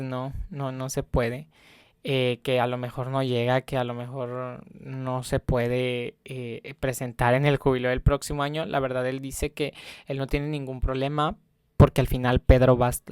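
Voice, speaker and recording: A man's voice: mid-range voice, clean tone, no room sound, clean studio sound. A young man telling a casual travel vlog story, rambling with many fillers and self-corrections. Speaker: travel vlogger.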